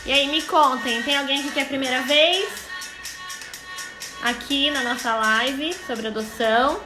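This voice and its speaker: A woman's voice: high voice, measured pace, reverberant, music in the background. A woman with a Brazilian accent hosting a casual live chat, chatty and welcoming to those joining. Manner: chatty, welcoming viewers by name. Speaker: live stream host, clinical psychologist.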